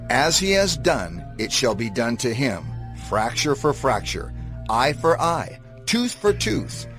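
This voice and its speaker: Expressive man solemnly reading scripture. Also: medium pitch. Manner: solemn, measured, declarative. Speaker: scripture reader. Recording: music under the voice.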